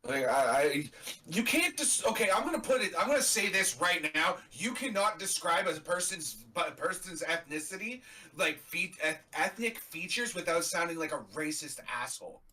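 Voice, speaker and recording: A young man from Canada, co-hosting a live reaction stream in a blunt, animated, casual way, swearing now and then. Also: high-pitched, normal speed, far from the mic, poor audio.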